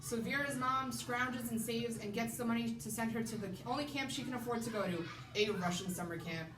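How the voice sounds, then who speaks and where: A woman's voice: a little high, fairly quick. Woman in a roomy, noisy recording. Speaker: children's librarian. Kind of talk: scripted book recommendation. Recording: roomy, distant mic, noisy background.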